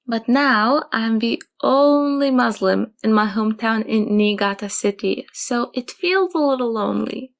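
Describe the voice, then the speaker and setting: A young woman, calmly telling her own story in a soft, measured way. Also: a little high, creaky. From Japan. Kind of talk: calm personal testimony.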